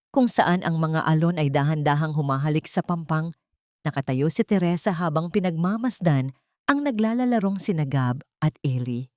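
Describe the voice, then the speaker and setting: Mababang boses ng babaeng tagapagsalaysay. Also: female voice, lowish voice, darkish tone, no echo, studio quality. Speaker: storyteller, narrator. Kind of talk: scripted dramatic audiobook narration.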